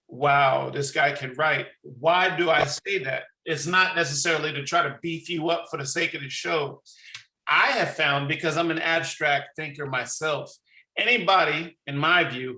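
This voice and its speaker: New york guy hosting, hyped and slangy, bit high and gravelly. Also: bright, forceful tone, roomy sound, low-quality recording. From New York City. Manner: enthusiastic, slangy and effusive. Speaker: podcast host. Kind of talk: casual interview chat.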